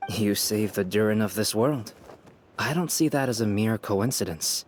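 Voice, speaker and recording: Man acting a low-voiced, measured scholarly young researcher. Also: slight background noise, natural voice. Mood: grave, thoughtful. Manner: formal, measured, articulate. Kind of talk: game character dialogue.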